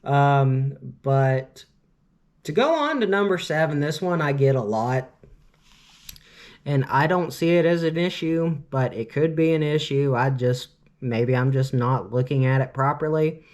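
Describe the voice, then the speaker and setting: A man's voice: mid-range voice, expressive, unhurried, darkish tone. Rambling, earnest man in his late twenties. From Cleveland, Ohio, US. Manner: rambling, constant "you know" fillers; earnest, opinionated. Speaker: vlogger. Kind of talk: casual storytime monologue.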